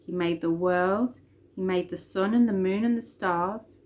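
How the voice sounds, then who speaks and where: A woman's voice: mid-range voice, measured pace, a little mellow. A woman reading a children's story expressively, with dramatic emphasis on key words.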